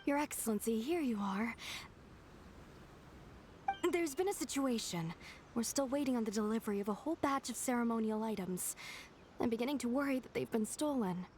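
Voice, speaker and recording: Woman playing a high-voiced dutiful assistant. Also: lively intonation, fairly quick, long pauses, darkish tone, slight background noise, close to an everyday voice. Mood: concerned. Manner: deferential, earnest. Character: dutiful, admiring young female shrine assistant. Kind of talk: video game voice acting. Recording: game music clearly behind the voice.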